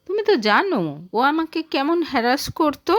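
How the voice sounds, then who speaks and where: Eager yet easily hurt wife for audio drama voiced by a woman, highish, expressive, unhurried. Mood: hopeful, pleading.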